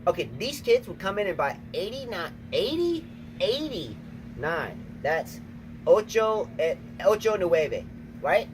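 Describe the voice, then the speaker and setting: Man, tennis co-host, emphatic, repeats himself, slightly high voice, windy outdoor recording. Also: big pitch swings, takes it slowly. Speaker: tennis channel co-host, coach. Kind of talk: casual outdoor chat. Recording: noisy outdoor recording, wind.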